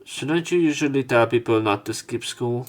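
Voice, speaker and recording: A man's voice: medium pitch, normal speed. A man reading a visual novel's narration and dialogue aloud for a let's play.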